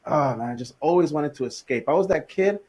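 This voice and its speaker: A man's voice: on the high side, fairly quick, husky. Laid-back man from Los Angeles. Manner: relaxed, laid-back, frequent fillers. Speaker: podcast guest, business owner. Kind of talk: casual conversational storytelling.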